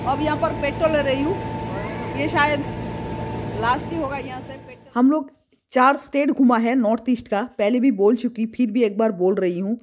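A fairly high-voiced woman from West Bengal talks her way through a road trip in easy, chatty narration, ticking off places and expenses. She films herself on a phone held at arm's length from the car and the roadside. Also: steady tone, normal speed. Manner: chatty, listing places and costs. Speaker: travel vlogger.

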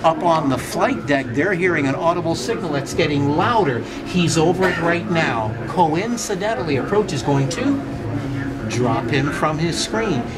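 Older man, higher-pitched, explaining to visitors. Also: animated. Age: 60s. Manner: emphatic, questioning, pausing between short phrases. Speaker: museum docent. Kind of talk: interactive guided demonstration to visitors. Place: indoor museum exhibit. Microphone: visitor's handheld camera a short distance away.

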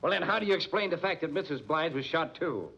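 An actor playing a sardonic, needling police lieutenant with wry, colloquial delivery in 1940s radio style. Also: male voice, on the high side, lively intonation, fast talker, gravelly, pushed and bright, slightly rough, exaggerated voice. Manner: wry, pushy, folksy colloquial phrasing. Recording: muffled vintage 1940s radio recording.

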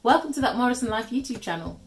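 A woman's voice: a little high, lively intonation, quick pace. A woman in her thirties, from Germany, co-hosting a channel introduction from a living room sofa in a friendly, lightly hesitant way. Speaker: channel co-host. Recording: light music underneath. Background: faint background music.

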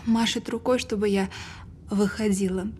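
An actress playing a frightened woman reliving a childhood memory under hypnosis, speaking haltingly in a naturalistic audio drama. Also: mid-range voice, fairly even intonation, a little mellow, slight background noise, natural voice. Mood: frightened, pleading.

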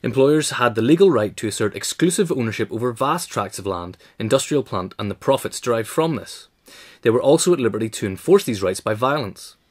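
A man's voice: medium pitch, lively intonation, brisk, slightly rough. A man narrating a scripted essay as its reader.

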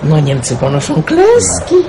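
An actress playing a bustling, plain-spoken country hostess in a radio drama, homely and chatty. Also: female voice, low-pitched, huge pitch swings, a little slow, vocal fry, noisy background, exaggerated voice. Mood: worried.

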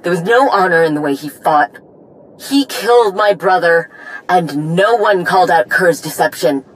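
A woman playing a tough, loyal young warrior in a video game, blunt and earnest, with a slightly husky voice. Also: on the low side, animated, normal speed, close to an everyday voice, low-quality recording. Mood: bitter, seething. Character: tough, vengeful yet loyal armored Mandalorian warrior woman. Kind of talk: video game cutscene acting.

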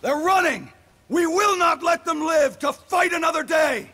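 A man's voice: very high-pitched, lively intonation, unhurried, vocal fry, bright, forceful tone, raised voice, full character voice. An actor playing a bold, passionate young male Greek warrior in a game, earnest and defiant. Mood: fierce, shouting.

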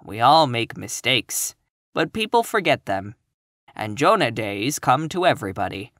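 A reader voicing Marilla, a brisk, stern older woman who speaks in a measured, matter-of-fact way. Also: mid-range voice, fairly bright tone, no echo, clean studio sound, lightly acted.

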